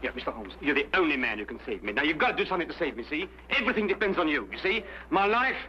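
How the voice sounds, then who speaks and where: Frantic, fast-talking Cockney escape artist. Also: male voice, fairly high pitch, very animated, pushed and bright, a little hiss or hum. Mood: frantic pleading. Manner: rambling, repetitive, pleading. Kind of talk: old-time detective drama acting. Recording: dull, humming old broadcast recording.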